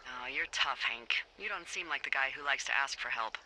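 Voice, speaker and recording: An actress playing a wry, teasing fire lookout supervisor in a video game, talking casually and dryly over a walkie-talkie. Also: female voice, rumbling low, animated, brisk, bright, forceful tone, slight background noise, heavily acted, rough recording. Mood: dry, practical. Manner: dry, playful, conversational. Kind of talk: naturalistic video game voice acting, casual banter.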